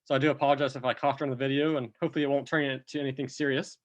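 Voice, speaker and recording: A man who is a bit under the weather, with a cough, walking through price charts in a relaxed, step-by-step commentary. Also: mid-range voice, racing. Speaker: crypto chart analyst. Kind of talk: screen-recorded chart walkthrough.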